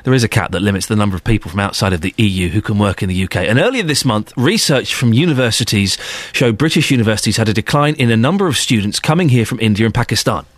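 Male radio host, quick and animated delivery, self-mocking banter, dry studio sound. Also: fairly low pitch, dry recording. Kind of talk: radio phone-in talk.

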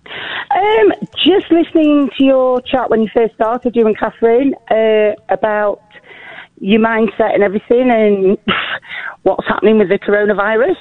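A woman with a deep, crackly voice calls in to a radio show. She talks in a hesitant, nervous way and keeps apologising. The call comes through a thin, tinny phone line with no room sound. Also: moderate pace, no echo. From northern England. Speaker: caller.

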